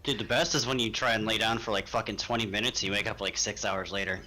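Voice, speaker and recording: A man's voice: fairly low pitch, steady tone, quick pace, bright, forceful tone, poor audio. A man playing a tabletop game, narrating action and chatting informally with plenty of swearing. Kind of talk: roleplay narration and casual chat.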